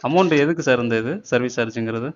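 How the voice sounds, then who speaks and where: A man's voice: mid-range voice, fast, slight background noise. A man on a recorded phone call, questioning an agent persistently as a customer. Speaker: caller asking about a loan.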